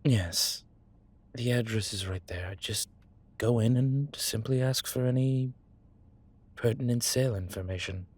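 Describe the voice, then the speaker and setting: A man playing a suave, sentimental vampire in a scripted audio roleplay, speaking in measured, wry, formal phrases with a husky, breathy voice. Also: medium pitch, darkish tone. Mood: fond, persuasive. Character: suave, sentimental male vampire, tired and scheming. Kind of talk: scripted intimate audio roleplay, one side of a conversation.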